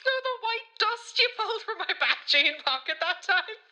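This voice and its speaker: Actress as giddy, tipsy Irish twentysomething on voicemail, high piercing voice, brash, rambling and confessional. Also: female voice, big pitch swings, normal speed, sharp tone, exaggerated voice. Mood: hysterical giggling. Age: young adult. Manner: rambling, exclaiming, giggly.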